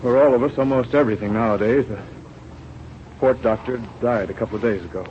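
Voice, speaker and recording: A man's voice: medium pitch, fast talker, background noise, natural voice. Man acting a weary doctor, muffled old radio. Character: weary, sleepy parish doctor in plague town. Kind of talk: 1930s radio drama acting.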